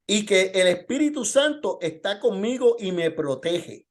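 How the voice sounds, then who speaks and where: Male pastor, high-pitched voice, informal Bible-lesson delivery with testimony and pointed rhetorical questions. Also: big pitch swings, a little slow.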